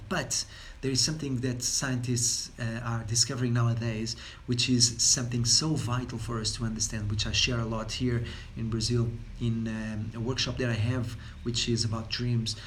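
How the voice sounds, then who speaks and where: Portuguese-accented male speaker, non-native English, loose wandering delivery full of fillers and restarts, faint noise behind. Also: medium pitch. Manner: rambling, many fillers and repeated words. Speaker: wellness speaker. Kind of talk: unscripted talk.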